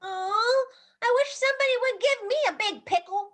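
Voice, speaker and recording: Tiny, extremely high squeaky creature. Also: moderate pace, crowd noise. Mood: exasperated. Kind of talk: dramatized stage script reading.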